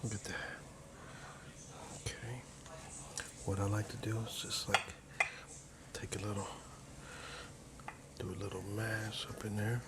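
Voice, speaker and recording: A man hosting a cooking ASMR video in a casual, playful, soft-spoken way, often slipping toward a whisper and repeating his phrases. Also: on the low side, level tone, unhurried, long pauses. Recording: clear sizzling and frying noise. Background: food sizzling, kitchen stirring sounds.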